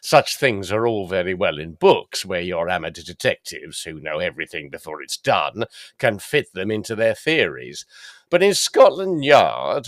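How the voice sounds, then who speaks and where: An actor playing a dogged, plain-spoken police detective in a gothic audio drama, formal and deferential. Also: male voice, fairly low pitch, a bit bright, no room sound, studio quality, full character voice.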